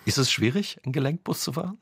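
Husky-voiced male radio interviewer. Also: on the high side, lively intonation, fast, no room sound, studio quality. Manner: brief encouraging interjections, friendly questions.

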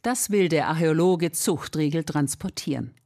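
A woman's voice: deep voice, normal speed, warm tone. A woman reporter reading scripted narration for a radio feature.

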